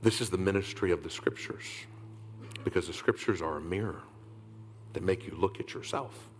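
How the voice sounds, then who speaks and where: Man in his 30s, pastor, deep voice, delivering a sermon from a big church stage, dropping to whispers and rising to calls to the crowd, faint hum behind. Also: moderate pace. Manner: preaching with sudden whispered drops and loud rises; calls on the crowd to answer back. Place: large church stage indoors.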